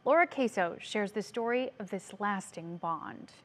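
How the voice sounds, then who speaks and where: A woman news anchor reading a story introduction clearly and composedly in a television studio. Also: mid-range voice, animated, measured pace.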